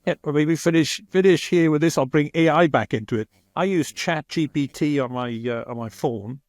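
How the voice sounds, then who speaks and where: A middle-aged man from Wales with a strong Welsh accent, hosting a podcast interview in a rambling, halting way with many "uh"s. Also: fairly high pitch, no echo, clean studio sound. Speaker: podcast host. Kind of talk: casual interview conversation.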